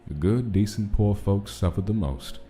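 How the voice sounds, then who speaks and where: A man's voice: fairly low pitch, moderate pace, over music, natural voice. A man reading scripted narration of a gritty gangster-era story in a comic dub. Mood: brooding, measured.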